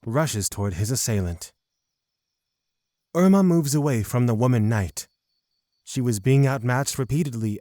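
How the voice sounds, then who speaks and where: A man reads scripted fantasy narration as a storyteller. His voice sits at a medium pitch, recorded close and dry. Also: a little mellow, no room sound.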